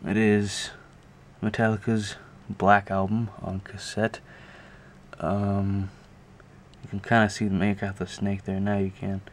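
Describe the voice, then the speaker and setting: A man with a low, monotone voice talks casually through a show-and-tell. He is halting and unscripted, thinking aloud as he goes. There is noticeable noise behind him on the amateur camera recording. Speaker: video creator showing a music collection. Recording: clear background noise, amateur camera audio.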